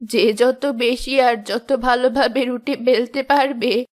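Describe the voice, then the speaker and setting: A woman's voice: fairly high pitch, fairly even intonation, slow. A woman playing a commanding, firm mother-in-law who runs her household in a fairy-tale cartoon. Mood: instructing. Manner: bossy, declarative, firm.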